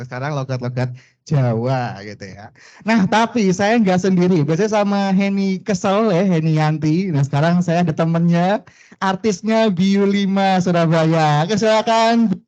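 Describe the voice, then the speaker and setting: A young man hosts a corporate online quiz with excitable, chatty energy, leaning on little fillers at the end of sentences. His voice sits a little high, and the recording sounds dull and lo-fi. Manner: excitable, chatty, peppers talk with "gitu ya". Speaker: quiz host. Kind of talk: lively corporate livestream hosting.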